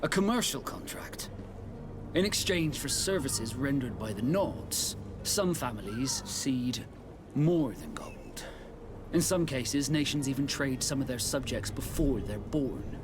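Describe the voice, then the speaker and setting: An actor playing a stern, proud sea captain in a video game, speaking in a terse, measured, deliberate way. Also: male voice, mid-range voice, animated, background noise, natural voice. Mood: proud, insistent. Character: stern, proud male sea captain, fiercely loyal to crew. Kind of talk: dramatic video game dialogue.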